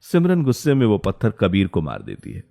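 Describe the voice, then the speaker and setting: A man's voice: on the low side, huge pitch swings, a little fast, vocal fry, dark tone. A man narrating a story in a scripted, dramatic style. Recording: music behind the voice.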